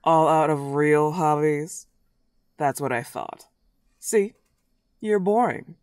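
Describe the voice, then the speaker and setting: A woman's voice: low voice, expressive, takes it slowly, a little mellow, no echo. A werewolf girl, acted by a woman, recorded very dry. She is cocky and possessive, leaning on her words with playful, taunting emphasis, as in a comedic roleplay audio drama.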